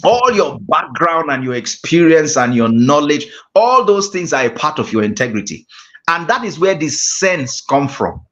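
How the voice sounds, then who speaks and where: Nigerian man teaching, slightly high voice. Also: sing-song, a bit bright. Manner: measured, repeats key phrases for emphasis. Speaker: guest speaking coach. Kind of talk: teaching a public-speaking class. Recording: muffled lo-fi audio.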